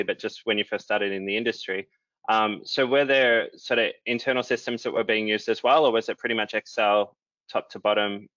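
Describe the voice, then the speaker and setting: Bright, dry moderator on a call. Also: male voice, fairly low pitch, no room sound. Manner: relaxed, many "um" fillers. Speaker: moderator, company chief executive. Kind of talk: panel moderation on a video call. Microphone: video call. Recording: dull lo-fi video call audio.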